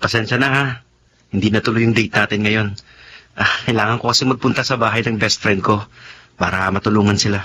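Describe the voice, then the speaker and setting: Bright-voiced young Filipino man. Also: on the low side, steady tone, fairly quick, slight background noise. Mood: apologetic, affectionate. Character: warm, polite young professional son and loyal friend. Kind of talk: Tagalog radio drama acting.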